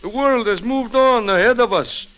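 An actor playing an earnest, idealistic Californio peacemaker, persuading in a declamatory 1940s radio style. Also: male voice, extremely high pitch, normal speed, fairly bright tone. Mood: earnest, persuasive. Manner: impassioned, declamatory. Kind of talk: 1940s radio drama acting.

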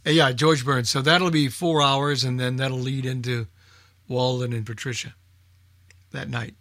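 A man's voice: medium pitch, fairly even intonation, measured pace, fairly bright tone. A man presenting a radio show in casual group chat, thinking aloud in a hesitant way.

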